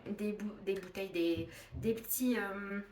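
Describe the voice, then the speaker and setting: A woman's voice: medium pitch, fairly even intonation, unhurried, darkish tone, far from the mic. A young woman co-hosting a vlog, chatting casually and teasing her partner. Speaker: vlogger co-host. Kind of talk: casual couple chat. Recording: roomy, a bit off-mic.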